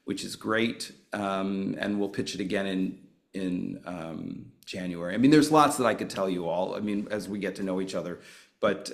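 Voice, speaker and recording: A man introducing himself on a video call as a full-time speaker and author, rambling with frequent restarts. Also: fairly low pitch.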